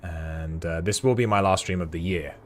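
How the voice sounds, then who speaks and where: A man's voice: low voice, moderate pace. A British man streaming a space game, rambling chattily to his chat at a desk mic. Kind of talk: casual livestream chat while playing.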